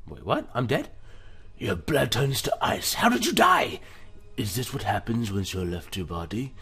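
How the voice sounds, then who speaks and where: A man's voice: lowish voice, wildly swooping pitch, moderate pace, creaky, pushed and bright. A man hosting a let's play, reading game narration aloud and adding casual, joking commentary. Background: music.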